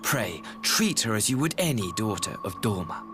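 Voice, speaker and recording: An actor playing a smooth, scheming young ambassador in a video game, mock-courteous and theatrical. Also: male voice, on the low side, very animated, moderate pace, over music. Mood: smug, taunting. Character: smooth, scheming young imperial ambassador, cruelly mocking brother. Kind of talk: video game cutscene acting.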